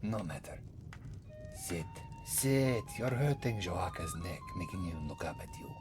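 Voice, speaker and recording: A man voicing a very old, wry, courteous cat-folk former priest in a fantasy roleplay ASMR, softly, often slipping into a whisper, musing about himself in the third person. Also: fairly low pitch, huge pitch swings, a little slow. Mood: teasing, inviting. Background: music.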